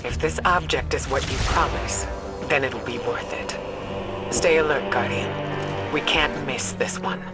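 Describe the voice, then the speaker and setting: Skeptical, stern commanding officer, deliberate and clipped, game scene under loud music. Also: female voice, extremely low pitch. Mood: stern, doubtful. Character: stern, skeptical senior officer demanding hard evidence. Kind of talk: video game cutscene acting.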